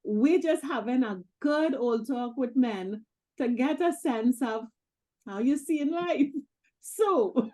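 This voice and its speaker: A woman in her sixties co-hosting a talk show, encouraging and quick to laugh. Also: sits high. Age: 60s. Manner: laughs often, warm and encouraging. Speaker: co-host. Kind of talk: casual chat.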